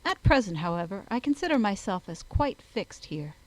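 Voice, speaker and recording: A woman voicing an amiable, impulsive young gentleman with an eager manner in a period novel audiobook. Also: medium pitch, sing-song, moderate pace, lightly acted, rough recording. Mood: cheerful, playful. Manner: eager, good-natured.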